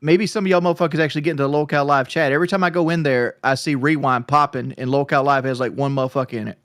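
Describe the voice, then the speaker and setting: Dude rambling on a stream, bit of a stutter, dry close sound. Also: male voice, fairly high pitch, fairly even intonation, racing, fairly bright tone, dry recording. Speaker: livestream co-host. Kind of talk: casual livestream chat.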